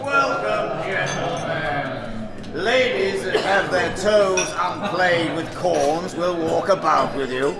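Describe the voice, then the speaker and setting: An actor playing a jovial, blustering old nobleman who is hosting a feast, in a Shakespearean audio drama. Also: a loud crowd. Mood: merry, boisterous. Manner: expansive, booming, chuckling, quick to scold. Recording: loud music behind the voice.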